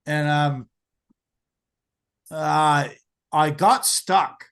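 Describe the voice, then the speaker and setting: An American man in his forties hosting a podcast, telling stories in a casual, animated way, swearing freely and stumbling over repeated words. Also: a little high, very slow, smooth. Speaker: podcast host. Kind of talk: casual storytelling chat.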